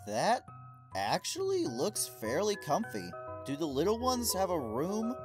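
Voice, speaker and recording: A woman's voice: lowish voice, huge pitch swings, unhurried, over music. A woman playing an inquisitive, casual interviewer character in a comic dub. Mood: casual, interested. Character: curious, casual female interviewer quizzing animatronics.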